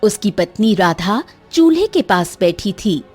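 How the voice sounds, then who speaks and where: A woman's voice: mid-range voice, very animated, unhurried, darkish tone, breathy edge, soft background music. A woman giving dramatic scripted narration of a cartoon fairy tale. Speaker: story narrator.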